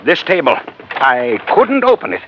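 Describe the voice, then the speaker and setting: An actor playing an agitated, evasive doctor of science in a 1950s radio drama, speaking in a halting, dramatic way. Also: male voice, mid-range voice, huge pitch swings. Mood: anguished. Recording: muffled, noisy old radio recording.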